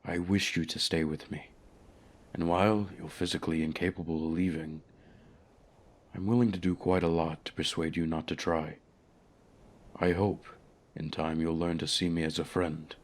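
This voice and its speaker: Deep, haughty ancient dragon voiced by a man. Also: flat delivery. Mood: wistful, tender. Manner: formal, measured, grandiloquent. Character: ancient, haughty, lonely male dragon, courteous and possessive. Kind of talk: ASMR fantasy audio roleplay.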